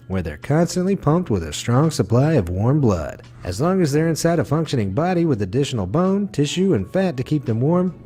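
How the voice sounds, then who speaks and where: A man reading a scripted facts video as narrator, with a smooth, wry delivery. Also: mid-range voice, mellow.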